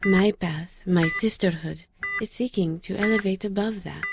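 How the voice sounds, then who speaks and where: A resolute mystic woman voiced by an actress speaks in gentle, deliberate and formal phrases. She is solemn, in an audio drama scene with clear noise behind her. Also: medium pitch, lightly acted. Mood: tender, resolute. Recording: clearly noisy background.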